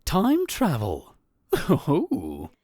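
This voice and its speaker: A man's voice: a little high, wildly swooping pitch, extremely slow, a little mellow, no room sound, studio quality, exaggerated voice. A man playing an arrogant, theatrical male college student who narrates a visual novel fan dub in a pompous way. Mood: indignant, theatrical. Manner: pompous, dramatic delivery with exclamations.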